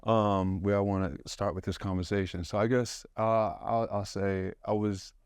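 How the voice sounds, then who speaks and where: A man hosting a podcast in an excitable, rambling way, full of restarts and fillers. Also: on the low side, moderate pace, clean tone, no echo, studio quality. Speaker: podcast host. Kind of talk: casual chat.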